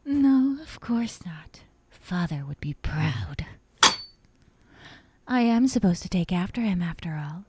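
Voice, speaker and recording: A woman voicing an obsessive, sadistic young yandere captor in a soft, breathy ASMR roleplay, half-whispering with sweet menace. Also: fairly low pitch, animated, a little hiss or hum. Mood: playfully sadistic. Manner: slow, breathy half-whispers broken by giggles. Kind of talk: ASMR roleplay, intimate dramatic monologue.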